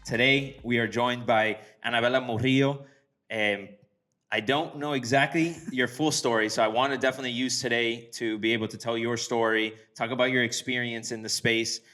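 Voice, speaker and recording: A man's voice: mid-range voice, steady tone, brisk, bright, forceful tone, soft background music. A man hosting a podcast in a casual, conversational interview style. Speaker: podcast host.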